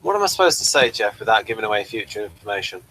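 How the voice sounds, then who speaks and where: A man's voice: on the low side, sing-song, rapid-fire, bright, forceful tone, low-quality recording. A man talking casually as co-host of a television review discussion.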